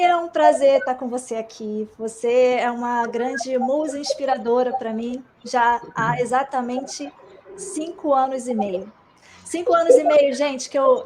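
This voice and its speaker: A woman's voice: high voice, darkish tone. A woman with a Brazilian accent hosting a casual live online chat, effusive and informal as she addresses people. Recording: online stream with faint noise.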